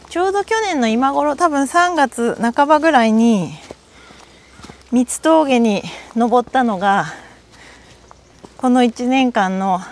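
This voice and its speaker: A middle-aged Japanese woman chatting to herself as she hikes a mountain trail outdoors, recorded on a body-worn camera. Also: on the high side. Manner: chatty, talks to herself while walking uphill. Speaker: hiking vlogger. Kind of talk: casual solo hiking vlog talk.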